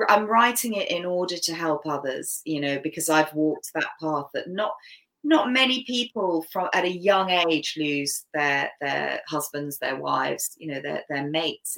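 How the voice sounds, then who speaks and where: A woman's voice: deep voice, a bit bright. A woman from Canada, a podcast guest talking openly and reflectively, laughing easily. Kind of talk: casual interview talk.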